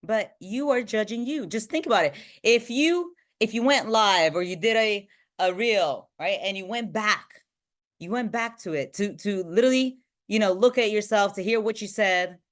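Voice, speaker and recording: Woman, motivational speaker, energetic, average pitch, swinging intonation, repeats "right?", video call. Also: moderate pace. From Bulgaria. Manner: energetic, tags nearly every point with "right?", swings between high and low pitch. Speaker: motivational speaker and team trainer. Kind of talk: motivational talk to an online group.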